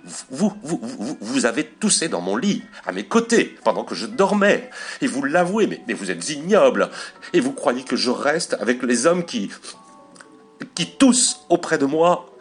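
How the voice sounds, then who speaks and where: A man's voice: on the low side, big pitch swings, moderate pace, fairly bright tone, husky, faint music behind, exaggerated voice. A man voicing a flustered, indignant young wife who stammers and exclaims in repeated words. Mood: indignant, outraged.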